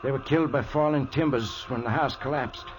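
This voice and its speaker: A man's voice: medium pitch, brisk, close to an everyday voice, poor audio. A man playing a folksy, plain-spoken driver. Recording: old radio play with background noise.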